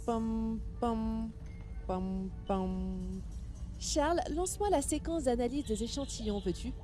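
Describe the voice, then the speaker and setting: A woman's voice: fairly high pitch, takes it slowly, dark tone. A woman playing a fussy, prim scientist on a space crew in an audio drama. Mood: breezy. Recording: faint music behind the voice.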